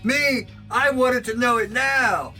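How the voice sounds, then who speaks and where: A man with a very high, bright voice talks excitedly to his camera, projecting loudly and breaking into laughter. He's recorded from some distance in his workshop, with faint music underneath. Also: slow. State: sore throat. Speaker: knifemaker and channel host. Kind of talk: casual talk to camera. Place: home workshop.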